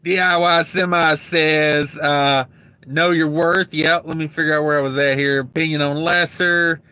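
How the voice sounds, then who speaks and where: A man's voice: fairly high pitch, steady tone, unhurried, sharp tone, clear, resonant tone, no echo. A man hosting a trucking livestream, rambling casually with lots of fillers while reading out viewer comments, his throat getting dry. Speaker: truck driver livestream host.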